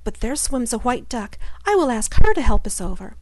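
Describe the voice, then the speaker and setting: Woman reader voicing a scared, brave little sister; earnest, pleading, higher-pitched, dry recording. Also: big pitch swings, normal speed, darkish tone, dry recording. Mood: relieved, eager. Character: frightened young girl, brave sister.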